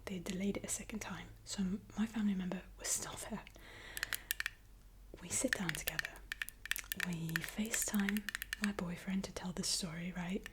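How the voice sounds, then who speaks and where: A woman whispering a chatty, animated story in ASMR style. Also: mid-range voice, normal speed, pauses often. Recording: clear background hiss with clicks.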